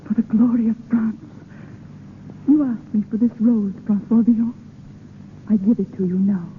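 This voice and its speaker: A woman's voice: a little high, fairly even intonation, moderate pace, natural voice. An actress playing an earnest, romantic French noblewoman in 1930s radio style. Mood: earnest, tender. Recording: scratchy tinny 1930s radio.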